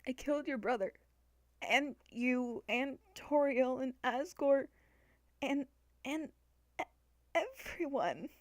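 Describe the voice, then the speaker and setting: A woman plays a sweet, timid young child in a dramatic story reading. The voice is high and slow, stammering through guilt and tears. The recording is close and dry, with no room sound. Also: animated, no echo. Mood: anguished confession. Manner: halting, stammering, sobbing delivery. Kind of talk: dramatic fan-fiction reading.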